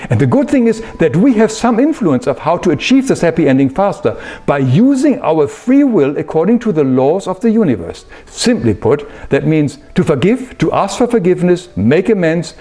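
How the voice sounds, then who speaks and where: A man delivers a spiritual lesson in a fairly high voice that rises and falls expressively. He is earnest and emphatic, setting out his points in measured phrases. Soft music accompanies him. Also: big pitch swings. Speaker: spiritual teacher. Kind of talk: explanatory spiritual talk.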